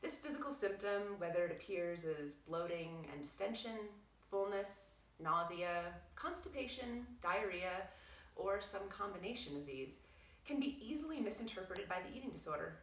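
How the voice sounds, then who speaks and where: A woman reading aloud as a doctor explaining things in a measured, articulate, explanatory way. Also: mid-range voice, lively intonation. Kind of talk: read-aloud narration with brief asides. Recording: roomy echo, distant from mic.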